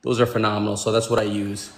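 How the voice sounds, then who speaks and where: A man's voice: lowish voice, little pitch movement, fast talker, slight background noise. Man in his 40s from pakistan, chatty livestream host, bro this my man that, echoey room. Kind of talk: casual livestream chat. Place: room at home.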